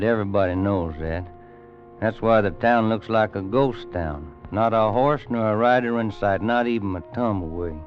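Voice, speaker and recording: Guy playing a folksy old rancher, low drawl, dull vintage radio sound. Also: lightly acted. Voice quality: drawling, folksy, grammar-bending. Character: weathered old frontier rancher narrating. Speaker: narrator. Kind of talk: 1940s radio drama. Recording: muffled vintage radio recording.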